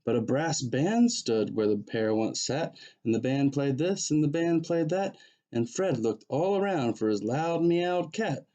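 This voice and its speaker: Mellow-voiced man reading a rhyming children's story. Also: medium pitch, lively intonation, unhurried, dull audio. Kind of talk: storytelling read-aloud of a rhyming picture book.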